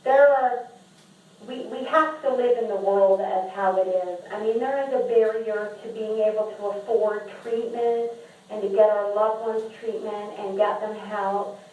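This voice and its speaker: A woman's voice: mid-range voice, fairly even intonation, a little slow, a little mellow. A woman speaking as a podcast guest and memoir author, talking candidly and earnestly, often restarting and repeating words. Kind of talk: candid conversational interview. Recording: echoey room, distant mic.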